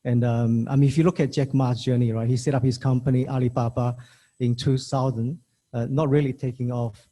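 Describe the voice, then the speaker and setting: A man's voice: mid-range voice, flat delivery, brisk, dark tone. A man from Shanghai, China, speaking as a panelist at a business forum, conversational and peppered with "you know" and "right". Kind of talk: panel talk.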